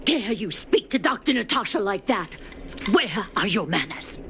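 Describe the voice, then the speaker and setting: A woman's voice: medium pitch, very animated, moderate pace, noisy background, close to an everyday voice. An actress voicing an indignant, scolding, fiercely loyal woman in a video game cutscene.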